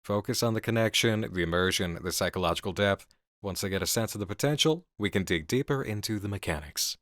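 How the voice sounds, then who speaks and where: A man's voice: on the low side, quick pace, a bit bright, no echo, clean studio sound, lightly acted. A man playing an intense, assured horror game developer who muses intimately and philosophically in an ASMR roleplay. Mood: encouraging, decisive.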